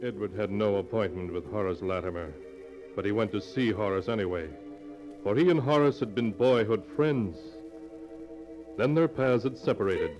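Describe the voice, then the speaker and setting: An actor playing an ominous storyteller, narrating a 1940s radio mystery in a dramatic, deliberate manner. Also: male voice, fairly low pitch, dull audio, lightly acted. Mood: grave, dramatic. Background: music.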